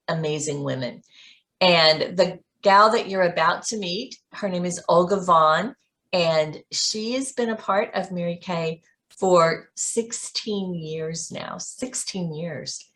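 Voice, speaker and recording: A woman's voice: fairly low pitch, a bit bright. A woman hosting an interview in an upbeat, encouraging way. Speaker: host, sales director. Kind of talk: casual interview.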